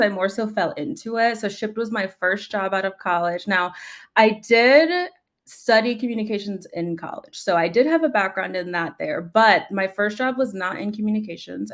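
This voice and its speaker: Young woman, New York native, panel guest; casual storyteller, mid-pitched. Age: young adult. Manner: warm, chatty storytelling with frequent "like" and "um". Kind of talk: conversational panel talk.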